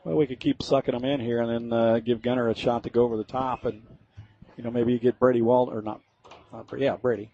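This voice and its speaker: A man's voice: medium pitch, flat delivery, fast talker, mellow. A man giving casual, folksy color commentary on a football radio broadcast. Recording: slightly dull, lo-fi radio audio.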